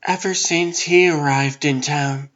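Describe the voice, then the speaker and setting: A man's voice: on the high side, steady tone, a little slow, a bit bright, muffled recording. A man voicing a guarded but friendly woman reporter in a comic book dub.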